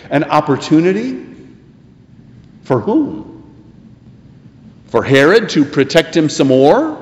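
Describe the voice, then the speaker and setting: An American man preaching a sermon, telling a scripture story with deliberate, emphatic phrasing and pauses for effect. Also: medium pitch. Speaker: preacher, pastor. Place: church sanctuary. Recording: echoey, reverberant hall.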